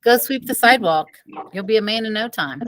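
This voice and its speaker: A woman's voice: fairly high pitch, animated, brisk, fairly bright tone. Teasing, wisecracking storybook mom played by a woman. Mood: dry teasing. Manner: mock-serious, joking. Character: teasing, wisecracking mother of a fourth-grade boy.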